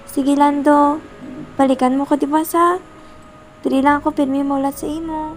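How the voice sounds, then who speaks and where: Young woman acting lovesick female spirit, very high voice, lonely, radio drama, music underneath. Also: little pitch movement, moderate pace, natural voice. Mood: wistful. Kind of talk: amateur radio drama acting in Filipino regional language.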